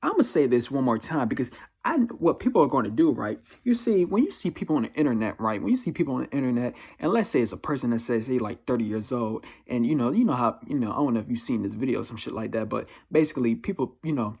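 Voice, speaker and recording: A man's voice: medium pitch, animated, fast talker, darkish tone, slightly rough. A man in his mid twenties with an American accent, giving a casual, slangy monologue in a blunt, emphatic way, often repeating phrases. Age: twenty-four. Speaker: vlogger giving life advice. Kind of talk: casual confessional monologue to camera.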